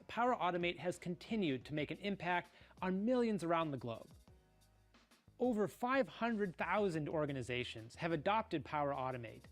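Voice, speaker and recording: A man giving a scripted conference presentation as a product executive, polished and even. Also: sits high.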